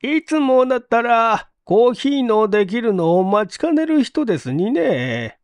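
A man as a high-voiced, chatty landlady. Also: big pitch swings, measured pace, no room sound, exaggerated voice. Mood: matter-of-fact. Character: talkative, matter-of-fact married landlady of a boarding house.

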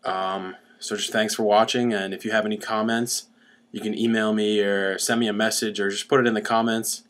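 Young man, slightly low voice, casual step-by-step explaining, home webcam recording. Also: level tone, brisk, fairly bright tone. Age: young adult. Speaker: DIY music gear demonstrator. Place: small cluttered room at home. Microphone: webcam about arm's length away.

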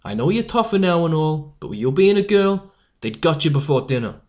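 A tough young newsboy leader, protective and stern, talking in rough street slang, as a young man plays him. His voice moves up and down a lot while he scolds. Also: fairly high pitch, big pitch swings, brisk, a little mellow. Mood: scolding.

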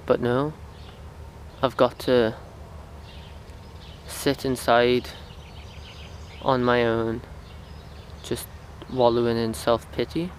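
A young man vlogging as he walks along a riverside path, talking in a reflective, rambling way with lots of fillers, recorded on wireless earbuds. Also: medium pitch. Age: young adult. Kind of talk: casual walking vlog. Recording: outdoor street noise. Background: traffic and outdoor ambience.

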